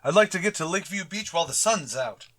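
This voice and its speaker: A man acts an innocently oblivious science teacher in a vintage radio comedy. His voice sits a little high and stays earnest. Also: animated, fast, bright, forceful tone. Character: earnest, oblivious male biology teacher Mr. Boynton. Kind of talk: 1940s radio sitcom acting.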